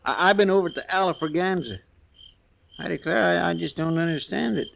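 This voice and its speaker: Creaky, folksy western deputy. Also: male voice, high voice, a little fast, fairly bright tone, heavily acted. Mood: flustered. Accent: rural American. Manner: hesitant, folksy, deferential. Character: earnest, flustered folksy deputy sidekick. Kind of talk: old-time radio western acting. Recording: vintage 1950s radio recording.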